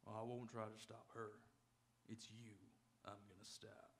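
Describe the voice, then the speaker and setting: An actor playing a hot-tempered, threatening rancher in a live radio Western, with a gruff, rural way of talking. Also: male voice, fairly low pitch, little pitch movement, a little slow, a bit bright, a little hiss or hum. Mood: angry, threatening. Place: auditorium stage, live radio theatre performance.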